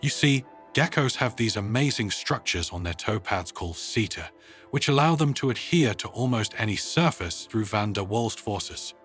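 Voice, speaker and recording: A man's voice: fairly low pitch, animated, moderate pace, fairly bright tone, faint music behind. A man voicing an earnest male scientist who explains things like a lecturer, in a sci-fi story. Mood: lecturing.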